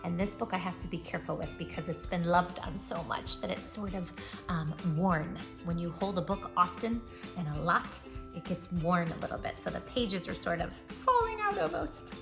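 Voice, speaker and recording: A woman's voice: lowish voice, very animated, moderate pace, vocal fry, over music. A woman hosting a read-aloud for young children, speaking expressively and emphatically. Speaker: children's storytime host. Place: indoors, room at home.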